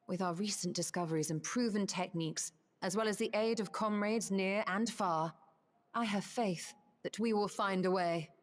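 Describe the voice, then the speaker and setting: A woman playing a composed, resolute sorceress and scholar in a fantasy video game, measured and formal. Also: medium pitch, natural voice. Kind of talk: dramatic video game acting. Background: game music.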